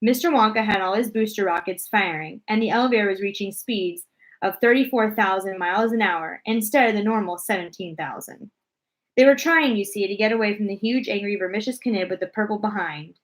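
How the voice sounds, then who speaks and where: A woman reading a children's storybook aloud as narrator, expressive and deliberate in her storytelling. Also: mid-range voice, rough recording.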